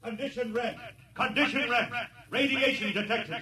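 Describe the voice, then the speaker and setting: An actor playing an urgent alarm announcer aboard a starship in a radio drama. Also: male voice, very high voice, fairly even intonation, normal speed, over a noisy crowd. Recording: echoey, distant, heavy commotion behind.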